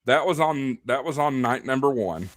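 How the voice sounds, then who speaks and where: A man's voice: mid-range voice, animated, moderate pace, dry recording, studio quality. A man from Tennessee chatting casually, with folksy, joking banter.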